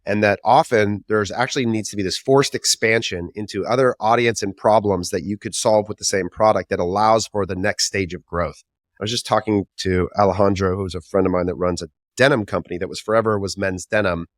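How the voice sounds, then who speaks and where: Male podcast host, lowish voice, dry close mic, conversational and riffing. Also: fairly even intonation, brisk, no echo. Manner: conversational, riffing on ideas before asking. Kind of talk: business podcast interview.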